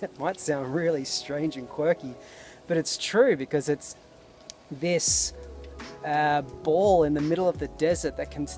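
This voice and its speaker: A man talking casually and earnestly to camera outdoors, reflecting with enthusiasm. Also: on the high side. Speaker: vlogger and permaculture intern. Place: outdoors at a desert garden site. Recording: loud music behind the voice.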